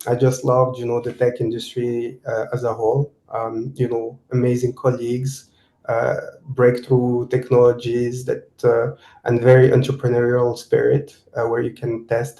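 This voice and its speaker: A man from Morocco giving a tech seminar talk and answering interview questions, halting with many fillers and restarts. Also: mid-range voice, dark tone. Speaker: guest speaker, technical program manager. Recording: roomy echo.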